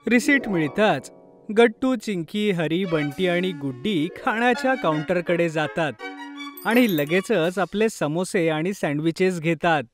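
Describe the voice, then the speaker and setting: Male narrator, high register, scripted kids' story, music bed. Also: lively intonation, a little slow.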